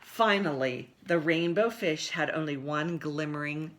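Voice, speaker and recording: A woman's voice: deep voice, a little slow, a little hiss or hum. A woman hosting story time, reading a picture book expressively to young children and addressing them directly. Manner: animated, asks listeners questions. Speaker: library story time host and reader.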